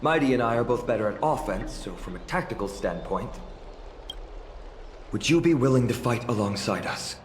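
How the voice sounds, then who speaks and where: A man's voice: medium pitch, expressive, moderate pace, noisy background, close to an everyday voice. A man voicing a confident, sporting young hero in a video game, courteous and self-assured. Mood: confident, friendly. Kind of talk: English video game voice acting. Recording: echoey, music underneath.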